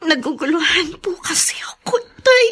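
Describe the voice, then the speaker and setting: An actress with a Filipino accent playing a wronged, tearful young married daughter in a radio drama. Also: female voice, very high voice, animated, normal speed, fairly bright tone. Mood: confused, hurt.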